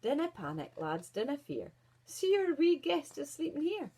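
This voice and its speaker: A woman's voice: sits high, sing-song, moderate pace, mellow, voice pushed up. A woman voicing a wise, kindly old male dwarf in a storybook tale, with a Scottish accent and a soothing manner. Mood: reassuring.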